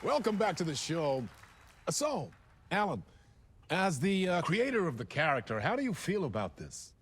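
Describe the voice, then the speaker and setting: Lively, high-ish talk show host. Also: male voice, moderate pace, slight background noise. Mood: probing. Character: probing, smooth-talking male television talk show host. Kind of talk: acted TV interview in a video game.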